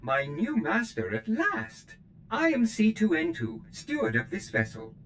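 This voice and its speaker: A servile steward droid in a video game, prim, chirpy and desperate to please. The voice sits high and leaps wildly up and down as he fusses over every detail, with a faint hiss underneath. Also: wildly swooping pitch, a little slow, fairly bright tone, exaggerated voice. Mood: eager servile. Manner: obsequious, formal and chirpy. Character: fussy male steward droid, eager to serve.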